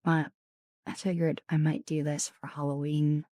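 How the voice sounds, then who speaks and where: A woman streamer chatting casually with viewers in a soft ASMR style, her voice raspy and deeper than usual, often slipping into whispers. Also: level tone, normal speed, dry recording, studio quality. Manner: chatty, greets and thanks viewers.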